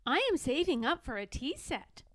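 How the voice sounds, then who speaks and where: A woman's voice: sits high, lively intonation, normal speed, no echo, clean studio sound. A woman voicing an eager, earnest young badger girl in a children's storybook, plain-spoken and insistent. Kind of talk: children's storybook read-aloud.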